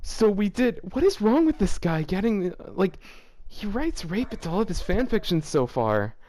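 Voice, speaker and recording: A man's voice: sits high, big pitch swings, moderate pace, warm tone. A man with a slightly raised voice reads fan fiction aloud in a loose, casual way. He keeps stopping to comment, groaning and laughing in disbelief at the text. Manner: breaks into incredulous laughter and groans.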